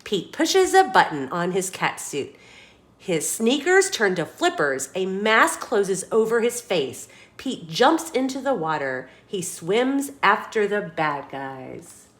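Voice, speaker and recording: A woman reading a children's picture book aloud as a storytime host, expressive and dramatic, putting questions to young listeners. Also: mid-range voice, slow.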